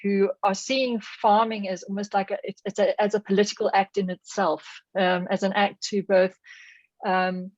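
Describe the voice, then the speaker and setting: A woman's voice: mid-range voice, flat delivery, moderate pace, no room sound. A woman with a South African accent asking a long, thoughtful question in an online seminar. She searches for words and restarts her phrases often. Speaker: audience member asking a question. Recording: dull dry video call audio.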